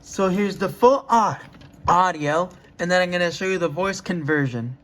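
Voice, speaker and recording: A person giving a casual hands-on demo to viewers, talking haltingly while working. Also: medium pitch, noisy background. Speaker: video demonstrator.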